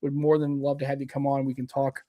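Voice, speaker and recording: A man's voice: mid-range voice, completely flat delivery, fast talker, mellow, dry recording. A middle-aged man co-hosting a podcast, chatting casually, joking and swearing as he rambles. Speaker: podcast co-host. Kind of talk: casual chat.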